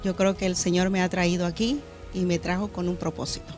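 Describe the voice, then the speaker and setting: A middle-aged woman pastor from Honduras, reflecting earnestly on her faith in a studio interview, pausing with fillers. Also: lowish voice, little pitch movement, a little mellow, soft background music. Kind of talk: testimony interview. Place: church media studio.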